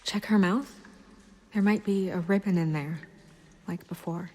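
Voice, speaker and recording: A woman playing a composed but uneasy young official in a video game, speaking with restraint and precision in a soft, husky voice. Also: on the low side, a little slow. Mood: analytical, troubled. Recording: long hall reverb.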